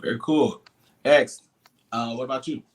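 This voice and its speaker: A man hosting an interview, speaking haltingly with many pauses. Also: medium pitch, fairly even intonation. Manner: halting, with many ums and restarts. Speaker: host. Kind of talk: interview host.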